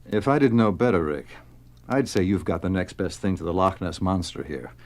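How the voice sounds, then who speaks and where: A man playing a deep-voiced paleontologist. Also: normal speed, a little mellow, close to an everyday voice. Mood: thoughtful. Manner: explanatory, thoughtful. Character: learned paleontologist captain, middle-aged man. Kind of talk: 1970s radio drama acting.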